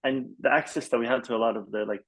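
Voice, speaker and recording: Rambling man with a creaky, gravelly edge. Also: mid-range voice, steady tone, fast talker, poor audio. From Malaysia. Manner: rambling, hesitant, peppered with "like" and "you know". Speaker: podcast guest, film composer. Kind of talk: casual interview storytelling.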